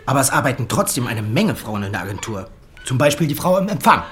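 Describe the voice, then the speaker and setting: A man's voice: medium pitch, big pitch swings, quick pace, fairly bright tone. Glib, self-assured ad man. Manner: glib, jargon-laden, self-justifying. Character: slick male advertising creative, self-assured and glib. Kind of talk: naturalistic radio drama dialogue.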